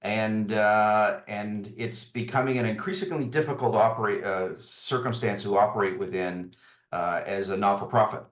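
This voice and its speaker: A man's voice: on the low side, steady tone, normal speed. A man, the CEO, giving an informal welcome address with frequent fillers and restarts. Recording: roomy, dull lo-fi sound.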